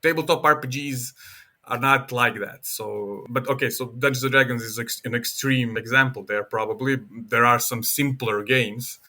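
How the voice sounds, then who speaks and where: A man explains his hobby in a casual, animated way. His voice has a bright, forward edge at a mid pitch. He acts out other people's reactions as he talks. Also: normal speed.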